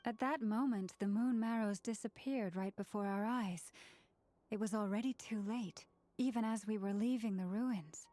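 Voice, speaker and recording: Reflective young female game character played by an actress, soft-edged warm tone, calm, gentle. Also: medium pitch, moderate pace, no room sound, faint music behind, lightly acted. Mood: regretful. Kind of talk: video game voice acting.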